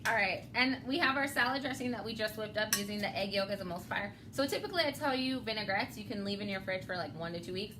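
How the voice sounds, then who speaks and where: A woman's voice: medium pitch, fast, few pauses, background noise. Chatty woman hosting a home cooking demo. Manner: chatty, laughs often, explains step by step. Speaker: cooking show host. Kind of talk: casual cooking demonstration.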